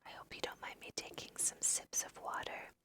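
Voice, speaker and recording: A woman with a slight cold whispering ASMR video game commentary, gently guiding the listener in a breathy, unhurried way. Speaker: let's play host guiding through a video game.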